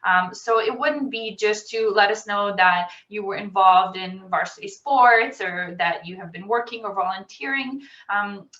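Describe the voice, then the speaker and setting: A woman's voice: mid-range voice, a bit bright. A woman admissions officer explaining rules methodically in a webinar, with frequent ums, over a video call. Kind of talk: webinar presentation. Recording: roomy, distant, dull video-call audio.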